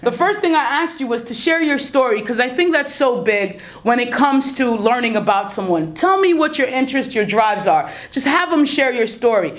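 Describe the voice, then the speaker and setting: A woman hosting an interview show in an energetic, showy way, often calling out to her audience. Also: fairly high pitch. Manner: showy, emphatic, repeats "ladies and gentlemen". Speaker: host.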